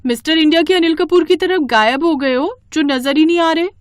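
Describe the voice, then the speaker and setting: Woman voicing a fussy, high-pitched young daughter-in-law. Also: brisk, dry recording, studio quality, natural voice. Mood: puzzled, exasperated. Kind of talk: animated Hindi storybook dialogue.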